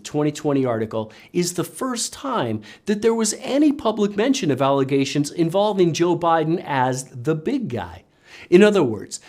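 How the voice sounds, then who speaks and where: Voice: mid-range voice, darkish tone. A narrator reading scripted political commentary with pointed, sardonic emphasis.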